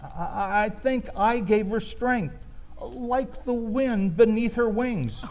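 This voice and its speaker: A man telling a first-person comic reminiscence as a storyteller, in a wistful, hesitant manner with pauses. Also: high voice, a little mellow.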